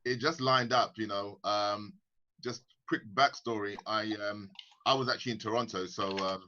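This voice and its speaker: A man's voice: lowish voice, fairly even intonation, moderate pace, sharp tone, highs cut off. A man from the UK with a British accent, chatting as a guest on a video call and rambling with many restarts. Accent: British English. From United Kingdom. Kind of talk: casual video-call chat.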